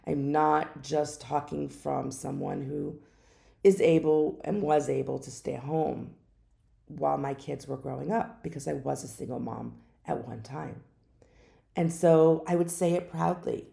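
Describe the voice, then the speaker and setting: A middle-aged woman hosting her own podcast, speaking in an unhurried, musing way with some hesitations. She has a naturally deep voice that is hoarse from a lingering cough. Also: steady tone, dark tone. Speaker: podcast host. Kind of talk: reflective solo podcast talk.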